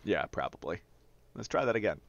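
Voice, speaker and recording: A man with a lowish voice tells personal anecdotes in a loose, rambling way, as if streaming a game to friends. His language is salty and informal. The audio is a little dull and band-limited, like voice chat. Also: very animated, brisk, creaky, a bit bright, no room sound. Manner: rambling, profane, often chuckles while talking. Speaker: streamer telling personal stories while gaming. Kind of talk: casual anecdotal storytelling over gameplay.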